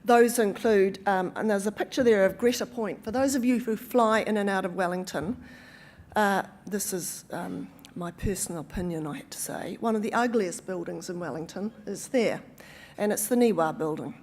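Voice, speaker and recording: A woman's voice: mid-range voice, moderate pace, a little mellow, a little hiss or hum. A woman with a New Zealand accent giving an awards-night speech, full of wry asides, ums and restarts. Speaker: awards speaker. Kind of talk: after-dinner speech. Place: event hall.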